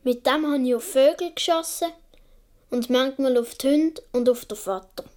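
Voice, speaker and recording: A woman as an imaginative little Swiss boy in a radio play, with a high, soft-toned voice. He tells his world earnestly and dreamily. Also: moderate pace, natural voice. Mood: dreamy, earnest. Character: imaginative young Swiss boy of seven or eight. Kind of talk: radio play monologue, childlike storytelling.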